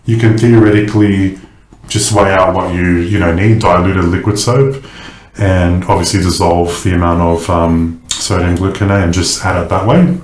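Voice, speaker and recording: A man casually explaining his soap making in a kitchen, relaxed and chatty with many pauses and ums. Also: low voice, reverberant. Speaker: soap maker. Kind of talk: casual how-to narration.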